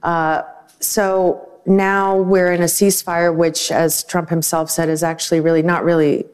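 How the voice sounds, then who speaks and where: A woman from Russia, a journalist on a panel, speaking briskly and wryly and setting out her points one by one. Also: on the low side, flat delivery, darkish tone, clean tone. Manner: brisk, wry, lays out points in sequence. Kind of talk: panel discussion commentary.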